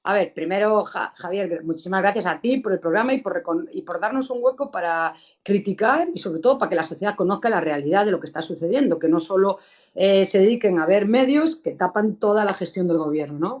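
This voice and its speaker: A woman from Galicia with a Galician accent, a politician answering interview questions in a measured, explanatory way. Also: medium pitch, lively intonation, darkish tone. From Galicia, Spain. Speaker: politician guest.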